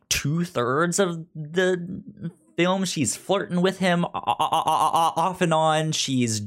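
A man co-hosting a film review podcast over a video call, talking casually with many stammered restarts. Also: medium pitch. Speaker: podcast co-host. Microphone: remote video call.

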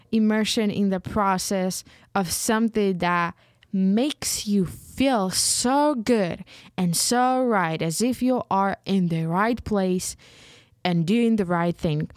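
Woman, Ukrainian native speaker, unhurried and halting personal storytelling, clean and dry close recording. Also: mid-range voice, no room sound. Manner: slow, hesitant, searching for words with many restarts. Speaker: writer.

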